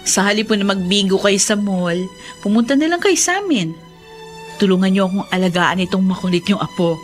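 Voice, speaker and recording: A woman's voice: medium pitch, big pitch swings, a little fast, natural voice. Woman acting forgiving young daughter-in-law, Filipino, earnest, respectful, radio drama, music underneath. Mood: warm, conciliatory.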